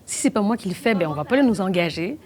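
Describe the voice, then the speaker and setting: A young adult woman from Belgium, talking fast with many restarts in a documentary interview. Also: medium pitch, animated, a crowd in the background. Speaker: actress and playwright.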